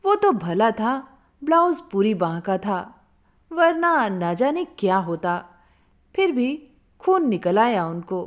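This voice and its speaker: Woman, higher-pitched voice, very animated intonation, unhurried pace, humorous conversational storytelling. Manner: expressive, conversational delivery. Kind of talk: humorous first-person story narration in character.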